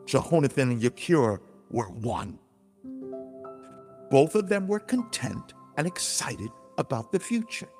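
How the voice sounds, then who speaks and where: A man reading scripted, dramatized audiobook narration in a solemn, emphatic, deliberate storytelling manner. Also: mid-range voice, sing-song, a little mellow. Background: music under the voice.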